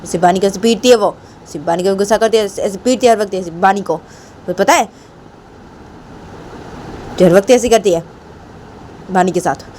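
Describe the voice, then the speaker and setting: A woman's voice: mid-range voice, moderate pace, pauses often, a little mellow, a little hiss or hum, voice pushed down, close to an everyday voice. A woman voicing a grown man, an uncle complaining to his mother, rambling and repeating himself.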